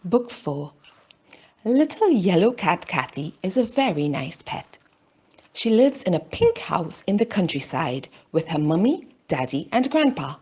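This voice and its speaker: Slow, expressive woman reading a children's story. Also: lowish voice, breathy edge. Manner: deliberate, simple phrasing with pauses. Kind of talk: slow scripted story narration for language learners.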